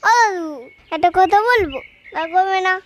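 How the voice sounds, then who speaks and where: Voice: extremely high pitch, normal speed, heavy background noise. A performer voicing a cheeky little granddaughter in a cartoon, coaxing and pleading. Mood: playful. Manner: coaxing, sing-song pleading. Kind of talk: cartoon voice acting.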